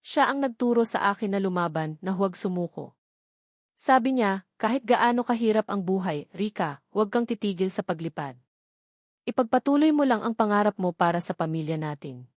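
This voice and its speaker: A woman's voice: mid-range voice, lively intonation, moderate pace, no room sound, clean studio sound, lightly acted. A woman narrator voicing a proud, stubborn young breadwinner, polite but firm, in an audio storybook. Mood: sad. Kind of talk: audio storybook character dialogue.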